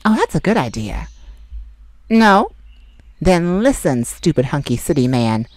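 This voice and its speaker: A woman's voice: low-pitched, big pitch swings, a little slow, a little mellow, no room sound. A woman voicing a sassy, snarky male forest fae in an ASMR roleplay, teasing and blunt, recorded on a close mic.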